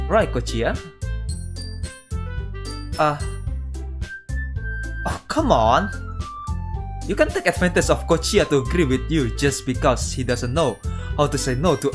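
Halting male game streamer over music. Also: fairly high pitch. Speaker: streamer playing a visual novel. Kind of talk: reading game dialogue aloud with casual commentary. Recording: music playing behind the voice. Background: guitar game music.

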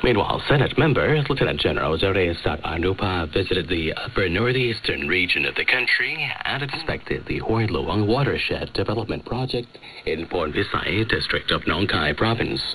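A man's voice: sits low, fairly bright tone, slight background noise, poor audio. A man reading scripted news as a radio presenter on a shortwave broadcast. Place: radio studio, heard over shortwave.

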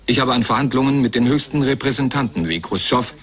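A man with a medium-pitched voice reads translated interview testimony as a documentary voice-over. The delivery is scripted. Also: animated, quick pace, a bit bright.

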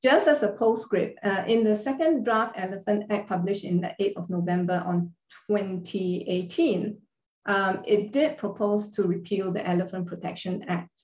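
Measured woman lecturing over a video call. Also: medium pitch, darkish tone. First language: not Thai. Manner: measured, careful legal explanation with fillers. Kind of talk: formal online lecture over slides. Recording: roomy echo on a video call.

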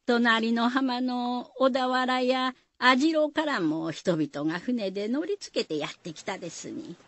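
A woman's voice: a little high, a little slow, fairly bright tone. A woman narrating a folk tale for radio in a folksy manner, with rustic dialect. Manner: folksy storytelling. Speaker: storyteller narrator.

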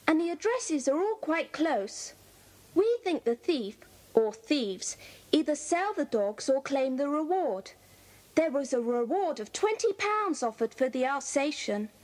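A woman's voice: high-pitched, big pitch swings, measured pace, a little mellow. A woman with a British accent playing an earnest, efficient young schoolgirl in a children's audio drama. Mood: earnest reporting.

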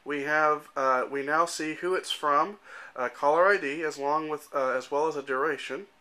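A man in his thirties giving an informal, matter-of-fact demonstration as a software developer, explaining things step by step into a handheld camera. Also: fairly high pitch, fairly even intonation, moderate pace. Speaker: software developer presenting a demo. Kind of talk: informal product demonstration narration. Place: indoor room at home. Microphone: handheld camera microphone.

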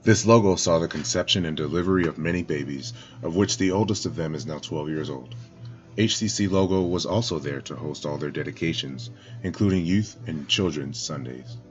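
A man's voice: very deep voice, fairly quick, highs cut off, soft background music. A man narrating a solemn, scripted church tribute video in a ceremonial manner. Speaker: church spokesman.

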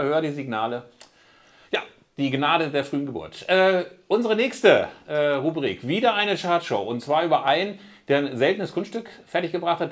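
A middle-aged man hosting from a studio set, telling self-mocking jokes with fillers between segments. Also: on the high side. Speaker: show host. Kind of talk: studio presenting.

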